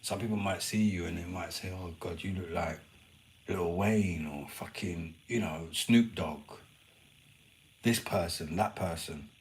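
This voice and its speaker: A man's voice: sits low, unhurried. A man casually chatting and rambling to his viewers on a live stream, swearing now and then. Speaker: livestreamer.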